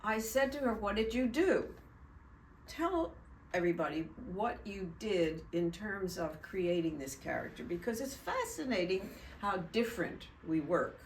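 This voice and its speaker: An older actress hosting a casual interview, musing slowly about acting with a swooping voice. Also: female voice, medium pitch, a little hiss or hum. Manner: slow, musing, lively swoops. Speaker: host and actress. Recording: echoey, distant from mic.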